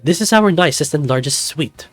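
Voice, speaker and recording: A man voices a vain, flirtatious snow leopard resort owner, raised high and swooping with lively theatrical sweeps. The voice is a touch husky, close and dry. Faint music plays under it in this visual novel. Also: normal speed, no echo. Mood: hospitable. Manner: theatrical, flirtatious, calls people darling.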